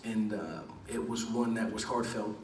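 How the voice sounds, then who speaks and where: Earnest coach in an echoey press room. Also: male voice, on the low side, steady tone, a little mellow, far from the mic, slight background noise. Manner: measured, earnest, many "uh" pauses and repeated words. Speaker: head football coach. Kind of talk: postgame press conference answers. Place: large press room with backdrop. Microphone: table mic, recorded from across the room.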